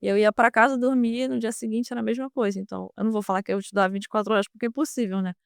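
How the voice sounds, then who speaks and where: A woman's voice: on the high side, fairly even intonation, very fast, vocal fry, no echo, studio quality. A young woman with a Brazilian Portuguese accent, a guest in an interview, answering casually with many hesitations and restarts. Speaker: guest, former student now a merchant navy officer.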